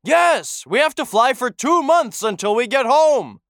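A woman's voice: sits high, very animated, unhurried, dry recording, studio quality, full character voice. A woman voicing an anxious, insistent wild goose in a children's audio story. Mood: earnest. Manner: fretful, insistent.

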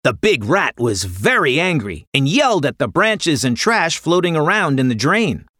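Expressive woman storyteller, animated pitch. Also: moderate pace, no echo, clean studio sound. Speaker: storyteller, podcast narrator. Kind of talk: scripted children's story narration.

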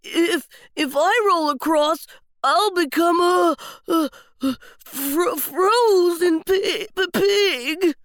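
A woman's voice: very high-pitched, slow pace, fairly bright tone, no echo, clean studio sound, heavily acted. A woman voicing a timid, silly little boy pig who speaks hesitantly and stammers, in a children's bedtime story.

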